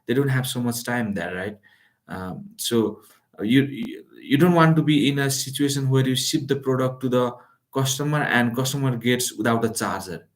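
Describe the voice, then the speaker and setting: A man's voice: mid-range voice, normal speed. A man with a delivery giving a live how-to lesson, talking loosely with many fillers and restarts. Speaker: online business instructor. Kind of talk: live-streamed how-to talk. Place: lives in Calgary.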